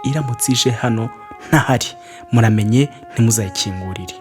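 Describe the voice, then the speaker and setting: A man's voice: medium pitch, a little fast, music in the background, lightly acted. A man voicing a protective mother goat instructing her kids in a children's storybook reading. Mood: cautioning.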